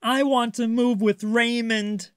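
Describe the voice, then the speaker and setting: A man's voice: very high-pitched, steady tone, takes it slowly, a bit bright, clean tone, no echo, studio quality, pitched above the performer's own voice, exaggerated voice. A man voicing a young boy shark from a storybook, plaintive, childlike and pouty. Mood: sulky.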